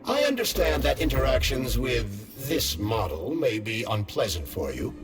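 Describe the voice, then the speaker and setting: A man's voice: medium pitch, big pitch swings, unhurried, over loud music. An actor voicing a polite, learned artificial-intelligence companion in a video game, speaking formally and precisely as he explains. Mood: explaining, measured. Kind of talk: video game character dialogue.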